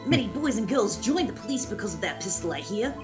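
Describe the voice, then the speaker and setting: Woman as condescending male prosecutor, game fan dub. Cool, measured mockery, expressive swings, faint music behind. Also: mid-range voice, natural voice. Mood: mocking. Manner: measured, mocking, theatrical.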